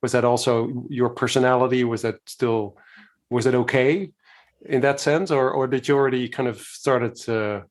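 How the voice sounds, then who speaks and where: A man's voice: medium pitch, steady tone, normal speed. Rambling male interviewer. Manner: rambling, searching questions with many fillers. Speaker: interviewer, host. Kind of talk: conversational interview.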